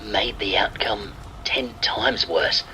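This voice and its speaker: A man's voice: on the low side, slow pace, slight background noise, rough recording. A man reading a scripted first-person horror story, with rain and thunder sounds behind his voice. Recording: faint steady rain behind the voice. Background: rain and thunder sound effects.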